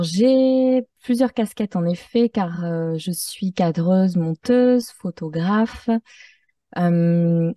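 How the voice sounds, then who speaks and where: A French-Mexican woman answering as an interview guest, reflective and measured, pausing to find her phrasing. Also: lowish voice, dark tone, no room sound, clean studio sound, far from the mic. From Mexico-born, French-Mexican. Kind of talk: conversational interview.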